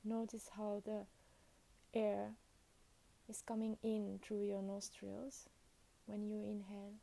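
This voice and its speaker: Slow, creaky-voiced woman guiding meditation. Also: medium pitch, monotone, dark tone. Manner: soothing, unhurried, with long pauses between short phrases. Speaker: meditation and yoga guide. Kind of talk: guided meditation.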